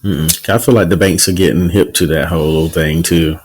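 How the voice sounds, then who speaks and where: A man's voice: deep voice, steady tone, a little fast, darkish tone, slightly rough. A man co-hosting a podcast, chatting casually and teasing his co-host in playful banter. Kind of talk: casual chat.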